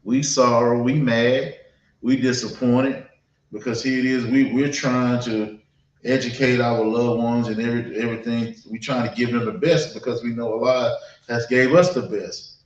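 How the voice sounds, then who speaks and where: A man's voice: medium pitch, fairly even intonation. Echoey, distant man preaching. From United States. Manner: halting, repetitive, conversational with frequent check-ins. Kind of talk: informal sermon, public address. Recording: dull, echoey room, distant mic.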